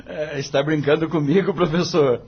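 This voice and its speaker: A man with a Brazilian accent playing an earnest, disheartened young lawyer in an audio drama, speaking formally and plaintively with a husky voice. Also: a little high, fairly even intonation, normal speed, highs cut off. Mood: discouraged. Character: earnest, disheartened young male lawyer seeking his mentor's advice.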